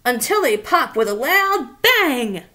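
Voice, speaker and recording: A woman voicing a grouchy male trash-can monster in a storybook, gruff, grumbling and dismissive. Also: sits high, big pitch swings, slow pace, bright, forceful tone, heavily acted. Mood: disgusted. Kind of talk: storybook read-aloud character voice.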